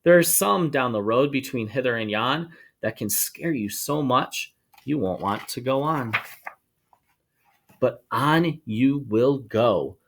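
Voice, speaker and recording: A man reading a rhyming children's book aloud to schoolchildren in an expressive, sing-song way, drawing out words for emphasis. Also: medium pitch, slow pace. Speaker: storybook reader for schoolchildren.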